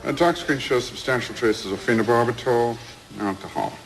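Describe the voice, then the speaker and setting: A man's voice: medium pitch, a little fast, creaky, close to an everyday voice, poor audio. A man playing a matter-of-fact coroner explaining forensic findings clinically in a radio crime drama.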